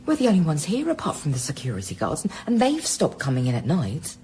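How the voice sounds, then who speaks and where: A woman's voice: deep voice, wildly swooping pitch, quick pace, warm tone, a little hiss or hum. An actress playing a resourceful, anxious woman scientist in a naturalistic radio drama, conversational and matter-of-fact. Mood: practical.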